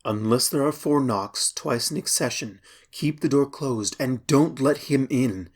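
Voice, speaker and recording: A man playing a mysterious friend who gives slow, measured, ominous warnings in a husky voice for a horror story. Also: medium pitch.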